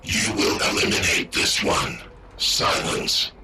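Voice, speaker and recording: A man voicing an ancient war-machine AI, speaking in terse, flat commands through a processed machine voice. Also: slow pace, loud music behind the voice. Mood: cold, commanding.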